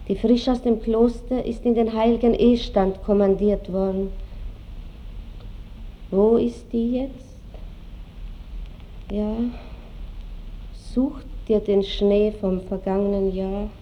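A woman's voice: medium pitch, fairly even intonation, slow pace, long pauses, muffled recording, natural voice. An actress playing a melancholy, tender aristocratic woman musing on time in an Austrian accent, wistful and lilting, in a classic radio drama. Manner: wistful, musing, lilting old-fashioned speech. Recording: faint hiss of old radio recording.

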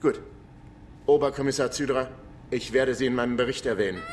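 Actor as fast-talking officious male investigator, bluffing, radio crime drama, faint noise behind. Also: medium pitch, lightly acted. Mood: brisk, bluffing. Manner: officious, brisk.

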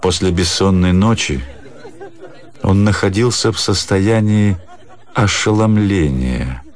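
Deep-voiced man narrating a literary story. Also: slow, some chatter behind. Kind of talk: literary narration in a radio play.

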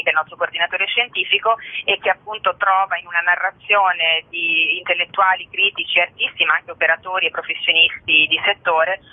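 A woman speaking in a formal, articulate and wordy way as a theatre guest in a radio interview over the telephone. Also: lowish voice. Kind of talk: formal explanatory interview answers. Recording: narrow, muffled phone line.

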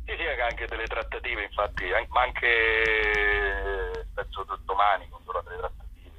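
A man's voice: fairly low pitch, flat delivery, normal speed, highs cut off. A man talking casually as an interview guest, in a playful, hesitant way, often repeating and restarting his phrases. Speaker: actor and author, interview guest.

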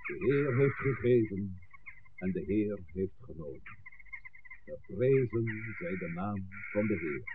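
Slow, solemn funeral priest. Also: male voice, fairly low pitch, expressive, recorded from a distance. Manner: solemn, measured. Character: solemn male priest reciting at a graveside. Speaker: actor. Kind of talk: solemn liturgical recitation in 1960s radio drama. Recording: noisy, tinny old radio recording.

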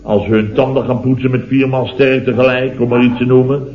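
A man's voice: medium pitch, level tone, a little fast, natural voice. An actor playing an exasperated building contractor boss in his fifties in a vintage radio comedy, telling his woes with indignation. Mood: weary grumbling. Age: 50s. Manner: indignant, long-suffering storyteller. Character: blustering, exasperated fifty-year-old building contractor boss. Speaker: comic lead in a radio serial. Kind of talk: 1970s Dutch radio comedy acting. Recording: dull, roomy vintage radio recording.

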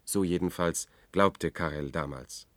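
Casting: brooding, uneasy man in a science fiction radio play, deep, measured, dry studio sound. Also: a bit bright, no echo. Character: anxious, brooding man recounting his search for a friend. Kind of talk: German radio drama acting with narration.

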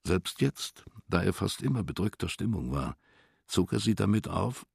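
A man's voice: low voice, big pitch swings, normal speed, vocal fry, husky, no echo, studio quality. A man narrating a literary German radio drama from a script, as its storyteller.

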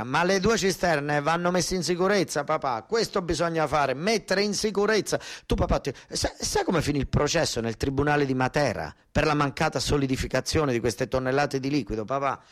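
Insistent southern Italian storyteller, male. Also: fairly high pitch. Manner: insistent, repeating words for emphasis. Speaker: stage actor and storyteller. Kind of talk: theatrical narrative monologue.

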